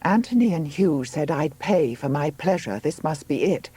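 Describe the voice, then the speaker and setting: A man's voice: on the high side, expressive, normal speed, slightly rough, dry recording, voice pushed up. A man voicing a plucky, frank young woman in labour in an audiobook story, exclaiming and chatty. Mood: frank, playful. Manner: exclaiming, chatty between yells.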